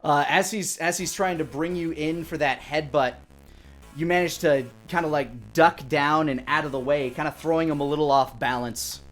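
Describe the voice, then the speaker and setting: Higher-voiced man narrating a fantasy game. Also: fairly even intonation, normal speed. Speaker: dungeon master, host. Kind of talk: improvised game narration for a tabletop role-playing stream. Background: music.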